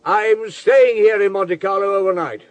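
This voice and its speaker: An actor in a radio drama playing a terse, commanding police chief who gives clipped, matter-of-fact orders. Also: male voice, high voice, moderate pace, dry recording, close to an everyday voice. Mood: brisk, dry.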